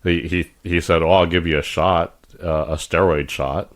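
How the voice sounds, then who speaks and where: A middle-aged man talks in a very deep, low voice. He chats casually as a podcast co-host, rambling along and tripping over his words. He gets animated when he imitates doctors in his stories. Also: moderate pace. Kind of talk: casual chat.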